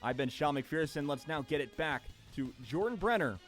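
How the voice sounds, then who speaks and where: A young male sports anchor with a fairly high voice reads a scripted update of scores and results. A light music bed runs underneath him. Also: lively intonation, normal speed.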